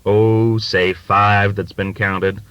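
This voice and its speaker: A man's voice: lowish voice, level tone, fairly bright tone, clean tone, no room sound, lo-fi sound. A man voicing a plump, middle-aged hustler in an audiobook, jovial and teasing, with a folksy, cajoling way of talking. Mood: sheepish, joking. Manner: folksy, ingratiating, drawn-out cajoling.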